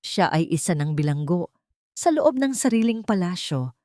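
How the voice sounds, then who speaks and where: A woman's voice: fairly low pitch, sing-song, normal speed, a little mellow, dry recording, studio quality. A woman storyteller reading scripted, dramatic narration for an audio story.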